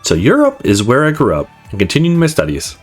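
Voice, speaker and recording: Man reading as breezy, animated young male student. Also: on the high side, fairly quick, gravelly, pitched above the performer's own voice, heavily acted. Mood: upbeat, reflective. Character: confident, upbeat male student narrating his life story. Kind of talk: visual novel narration read aloud. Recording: music playing behind the voice.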